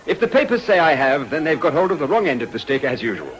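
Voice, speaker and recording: An actor playing an earnest, gallant politician with an educated English accent, speaking formally and courteously in a period radio drama. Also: male voice, fairly high pitch, very animated, fast talker.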